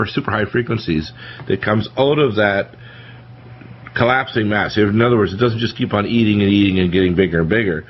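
Low-voiced emphatic radio host, dull lo-fi sound. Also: male voice. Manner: emphatic, lecturing, warns about disasters. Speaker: radio talk show host. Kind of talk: talk radio discussion. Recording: dull lo-fi radio broadcast with faint hiss.

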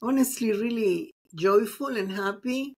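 A woman's voice: a little high, unhurried, breathy edge. A middle-aged woman from Venezuela, a psychologist and mindfulness teacher, talking reflectively with frequent ums as a guest on a video call interview. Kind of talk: casual interview conversation.

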